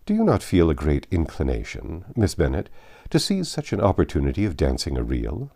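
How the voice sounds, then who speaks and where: A man voicing a proud, reserved, courteous young gentleman in a storybook reading, with formal wording. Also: rumbling low, huge pitch swings, fairly quick, creaky, full character voice.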